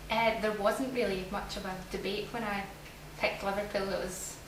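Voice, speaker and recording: A young woman from Glasgow with a Scottish accent, a student giving measured interview answers with fillers. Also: mid-range voice, fairly even intonation, far from the mic. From Glasgow, Scotland. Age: young adult. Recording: echoey room, from afar, noisy.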